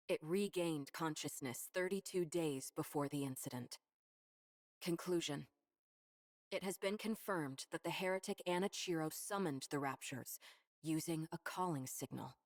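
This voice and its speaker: Low, detached female report reader. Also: dry recording, studio quality. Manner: detached, formal. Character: clinical female narrator reading a grim lab report. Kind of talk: formal scripted report reading.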